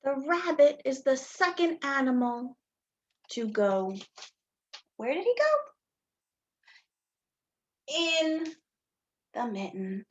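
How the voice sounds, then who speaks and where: A woman teacher doing a story time read-aloud for young children, with simple, deliberate phrasing and a sing-song, questioning delivery. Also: high-pitched, sing-song, highs cut off. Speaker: teacher reading a picture book to young children.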